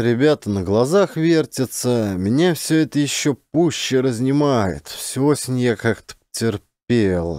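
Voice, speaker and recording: Audiobook role: simple peasant husband, jealous, calmly confessing, folksy speech, expressive pitch, male performer. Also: no echo, clean studio sound, close to an everyday voice. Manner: plain folksy rural speech, touchingly calm. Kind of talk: audiobook character acting.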